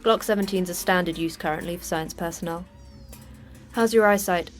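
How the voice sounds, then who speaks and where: A woman's voice: on the low side, moderate pace, lightly acted. Woman acting a terse, sardonic station manager, music behind. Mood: dry, sardonic. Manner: brusque, dry, deadpan sarcasm. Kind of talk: audio fiction podcast acting.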